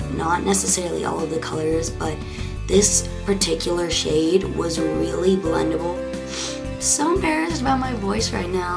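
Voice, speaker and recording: Raspy, congested young woman vlogger. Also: lowish voice. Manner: chatty, with many fillers. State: hoarse and congested. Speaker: beauty vlogger. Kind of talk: casual makeup tutorial. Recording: clear background music.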